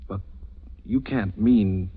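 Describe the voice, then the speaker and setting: A man's voice: on the low side, takes it slowly, breathy edge. An actor playing a younger man, an appalled guest and hunter, hesitant and incredulous, in 1940s radio drama style. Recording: dull, muffled old radio recording.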